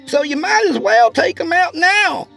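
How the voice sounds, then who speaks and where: Brash, scrappy storybook country woman, acted by a man, high-pitched with creaky edges, bossy and sarcastic. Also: very animated, normal speed, exaggerated voice. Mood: mocking shouting. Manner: folksy, bossy, insulting.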